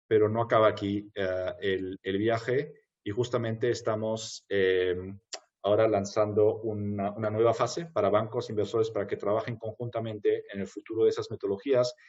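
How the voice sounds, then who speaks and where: A man wrapping up a webinar talk, hesitant, with many pauses and restarts. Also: fairly low pitch, a little mellow. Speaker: webinar speaker. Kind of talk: closing a presentation. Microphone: video call. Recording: dull video-call audio.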